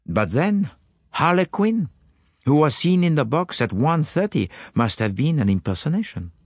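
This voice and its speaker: A man voicing a self-assured, courteous and exacting detective in an audiobook, with a pompous, deliberate manner. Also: fairly high pitch, very animated, no echo, clean studio sound, pitched above the performer's own voice. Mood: explanatory, pleased. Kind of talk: audiobook character voice.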